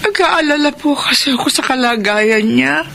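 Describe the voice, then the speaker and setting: A woman's voice: sits high, moderate pace, vocal fry, bright, forceful tone, exaggerated voice. An actress with a Filipino accent playing a worried middle-aged mother in a radio drama, hesitant, polite and pleading. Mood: anxious, sorrowful. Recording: noisy radio drama broadcast.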